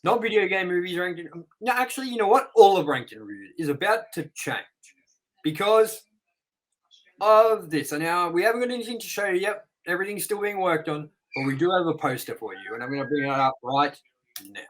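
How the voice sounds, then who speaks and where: Excitable male host, rambling. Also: high-pitched, brisk, rough recording. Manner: rambling and excitable, with sound-effect noises. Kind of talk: casual episode wrap-up with plugs.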